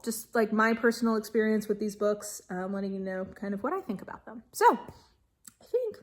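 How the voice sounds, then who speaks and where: A woman giving a casual video book review in a gushing, emphatic manner, stretching key words for stress. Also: a little high. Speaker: book reviewer and channel host.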